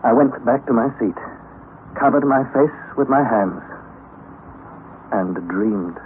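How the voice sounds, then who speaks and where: A man's voice: medium pitch, lively intonation, takes it slowly. An actor playing an anxious young student who recounts his expedition in earnest, formal, dramatic narration for a radio play. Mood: weary, awed. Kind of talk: dramatized radio narration of a classic adventure novel. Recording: noisy, narrow-band old radio recording.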